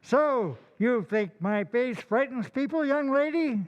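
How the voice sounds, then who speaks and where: An actor playing a gruff, courtly old grandfather who speaks slowly and formally in an old-time radio drama. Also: very high-pitched, animated, dull audio. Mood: gruff, challenging. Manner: slow, formal, emphatic.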